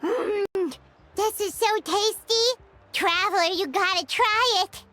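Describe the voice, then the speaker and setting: A woman voicing a tiny excitable female fairy companion in a video game, bubbly and exclamatory. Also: extremely high pitch, slow pace, soft background music, heavily acted. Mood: delighted. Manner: bubbly, exclamatory, talks about herself in third person.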